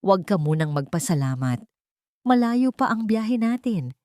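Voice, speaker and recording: A woman's voice: mid-range voice, very animated, moderate pace, mellow, no room sound, studio quality, close to an everyday voice. Woman voicing a firm, loyal female friend. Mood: tense. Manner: firm, urgent. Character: loyal, resolute female friend urging escape.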